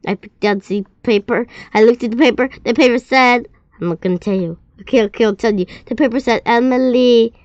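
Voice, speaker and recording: Lady reading a kids' story out loud, trips over words a lot, dry dull lo-fi sound. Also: on the high side, quick pace, dry recording. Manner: expressive, often stumbling and repeating words. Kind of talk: children's story read-aloud.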